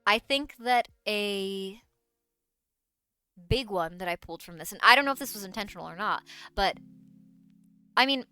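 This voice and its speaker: A woman's voice: mid-range voice, expressive, normal speed, pauses often, pushed and bright, clear, resonant tone, dry recording, faint music behind. A woman streamer chatting casually on a livestream, rambling and often interrupting herself as she restarts her thoughts.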